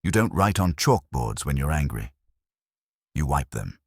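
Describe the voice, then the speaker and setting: Man playing a very deep, stern, erudite detective. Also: sing-song, unhurried, breathy edge, no room sound, studio quality, heavily acted. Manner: formal, precise, cutting. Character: stern, erudite male detective, disdainful and exacting.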